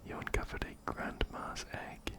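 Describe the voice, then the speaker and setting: Slow, whispering male ASMR gamer. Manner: gentle, repeats phrases softly. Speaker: ASMR host playing a video game. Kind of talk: close-up whispered ASMR game commentary. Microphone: close binaural microphone, ear to ear.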